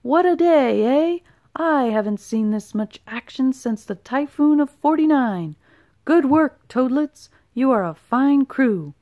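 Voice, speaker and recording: A woman puts on a salty old sea captain for a children's storybook. He is a blustery male sailor who speaks loudly and with emphasis. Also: high-pitched, expressive, takes it slowly, dry recording, studio quality, pitched above the performer's own voice, full character voice. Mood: hearty, excited.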